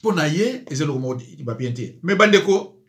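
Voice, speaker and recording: A man's voice: fairly high pitch, lively intonation, fast talker, fairly bright tone. A man, a pastor giving impassioned spoken commentary, pressing his listeners with rhetorical questions. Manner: rhetorical questions, pleading and urgent.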